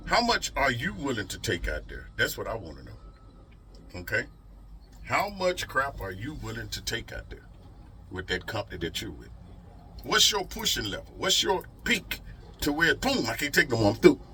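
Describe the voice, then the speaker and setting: An animated man with a bright, forceful voice. He gives folksy, emphatic advice as a trucker vlogger, pressing his points with repeated questions. There is faint vehicle noise behind him. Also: medium pitch, normal speed. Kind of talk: motivational advice vlog, casual talk.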